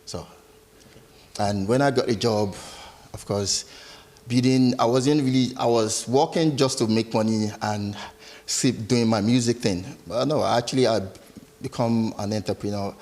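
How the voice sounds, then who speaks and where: A middle-aged man from Lagos with a Nigerian accent, a business founder chatting as an interview guest, hesitant and quick to laugh. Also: medium pitch, a little mellow. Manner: laughs easily, hesitant with many ums. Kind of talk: casual interview chat.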